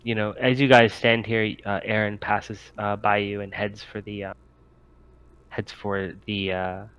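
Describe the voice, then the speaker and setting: A man's voice: on the low side, little pitch movement, measured pace, fairly bright tone, clean tone, lo-fi sound. A man working as game master, improvising narration for a tabletop roleplaying game and explaining things to the players.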